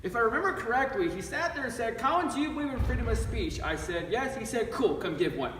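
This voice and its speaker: A man's voice: extremely high pitch, lively intonation, quick pace. A young man, a magician, giving a casual, joking church talk without a microphone while doing a card trick, heard from a distance in a small church room. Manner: loud and chatty, joking with the audience. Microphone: no microphone, recorded from a distant camera. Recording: echoey room from far off, noisy.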